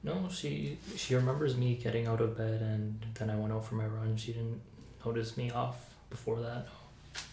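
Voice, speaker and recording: A soft-spoken young adult playing a patient in an ASMR roleplay, giving hesitant, understated answers. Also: medium pitch, steady tone, moderate pace, creaky. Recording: echoey room, faint hiss.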